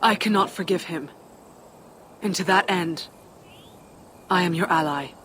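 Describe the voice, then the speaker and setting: A woman playing a proud, defiant giantess, a tyrant's daughter, measured and wry in a video game cutscene. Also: fairly low pitch, a bit bright, slight background noise. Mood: cool resolve.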